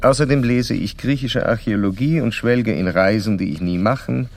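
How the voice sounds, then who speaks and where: An actor playing a middle-aged Viennese psychoanalyst in a radio play, formal, measured and self-assured, with a gravelly voice. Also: male voice, lowish voice, close to an everyday voice. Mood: reflective, literary. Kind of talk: German radio play acting, letter reading and dialogue.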